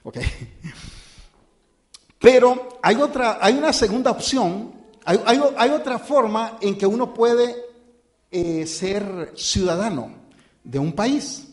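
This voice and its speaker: High-voiced pastor, halting sermon. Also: male voice, lively intonation, a little mellow. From born outside the United States. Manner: informal, halting, with many fillers and restarts; addresses listeners as brothers and "usted". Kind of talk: conversational sermon.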